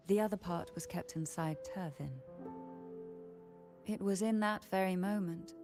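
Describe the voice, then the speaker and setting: A composed, enigmatic game noblewoman speaks in a low voice over prominent music. Her delivery is measured and formal, with a faint tease, as if guarding old and grim secrets. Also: creaky, dark tone. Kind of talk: scripted video game dialogue.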